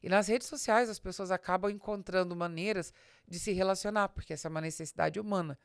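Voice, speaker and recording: A woman's voice: mid-range voice, fast, smooth, no echo, clean studio sound. A Brazilian woman hosting a podcast, giving informal business advice in a didactic, emphatic way, speaking straight to the listener. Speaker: podcast host and business strategist.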